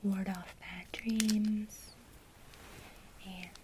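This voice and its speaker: Woman, ASMR guide, unhurried soft whisper, faint crinkle behind. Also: medium pitch, noisy background. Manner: soft unvoiced whisper, slow and calm. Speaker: ASMR creator teaching witchcraft.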